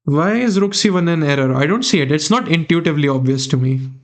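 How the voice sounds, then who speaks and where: Young male chess streamer, Indian accent, slightly higher voice, musing and chatty, desk mic in a small room. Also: fast talker. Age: young adult. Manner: thinking aloud, chatting with viewers. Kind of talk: live chess commentary.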